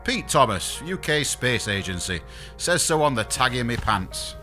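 An actor plays a bashful space engineer in an audio comedy. He speaks slowly and hesitantly in a bright, animated voice, tripping over apologies. Light music runs underneath. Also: medium pitch. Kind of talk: scripted audio comedy sci-fi drama.

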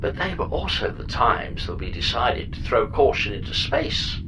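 A woman's voice: very deep voice, sing-song, normal speed, noisy background. A woman playing a chatty, flippant and reckless spaceship pod computer in a comedy audio drama, talking in a breezy, mock-grand theatrical way. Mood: breezy, wistful.

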